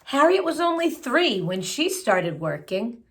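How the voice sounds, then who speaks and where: A woman's voice: on the high side, very animated, a little slow, a bit bright. A woman reading a children's picture book aloud, phrasing it expressively and adding simple explanatory asides for young listeners. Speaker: children's story reader.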